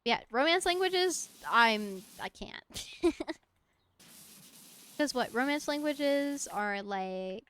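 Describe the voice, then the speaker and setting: A woman's voice: sits high, animated, slow, pauses often, fairly bright tone, clear, resonant tone. A young woman streaming a game from home, chatting casually in a rambling, giggly way and talking to objects in the game. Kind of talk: casual chat while gaming.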